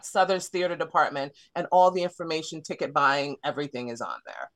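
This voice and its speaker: A woman's voice: low-pitched, lively intonation, brisk, fairly bright tone. Woman, guest on a studio radio show, casual and chatty, many fillers. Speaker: theater artist and guest.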